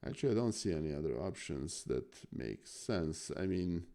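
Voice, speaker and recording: Deep gravelly man with a Serbian accent. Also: big pitch swings, moderate pace, warm tone. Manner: hesitant, thinking aloud with restarts. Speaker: chess student. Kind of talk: casual chess lesson chat. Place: home, live stream.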